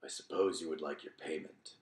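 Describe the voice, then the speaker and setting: A man voicing a secretive, scarred, hooded stranger in a fantasy audiobook, in a measured, understated way. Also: rumbling low, steady tone, voice pushed down. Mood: curious, evasive. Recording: roomy, echoing room sound.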